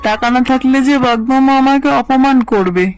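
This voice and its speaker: A woman's voice: sits high, normal speed, faint music behind, close to an everyday voice. A woman voicing a poor, weary but caring fox mother in a cartoon, plaintive and motherly. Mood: anxious, pleading. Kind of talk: cartoon dubbing.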